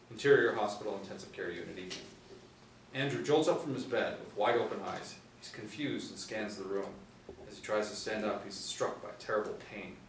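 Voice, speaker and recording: A man in his forties reading stage directions as scripted narration at a table read. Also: on the low side. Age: 40s. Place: small indoor room. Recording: echoey room, distant mic, background noise.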